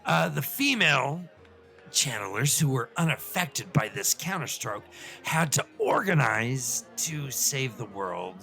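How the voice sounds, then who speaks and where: A man acts out an excitable professor puppet in a comic show, lecturing on fantasy lore. The voice is gravelly and forceful at a middling pitch, wandering into asides and stumbling over words, with faint music behind it. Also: unhurried, exaggerated voice. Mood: earnest, rambling. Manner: rambling, professorial, full of digressions and stammers.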